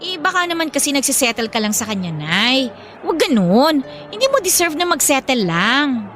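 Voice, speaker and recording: A young Filipino daughter, voiced by an actress in a radio drama, pushy and teasing. Her high voice is very animated, with faint music behind it. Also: moderate pace. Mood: insistent.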